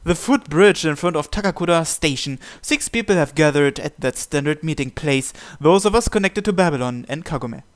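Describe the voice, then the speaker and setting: A man's voice: fairly high pitch, animated, fairly quick, hardly any pauses. Man reading a visual novel aloud. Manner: reads narration and every character's lines in his own voice. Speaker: let's-play host.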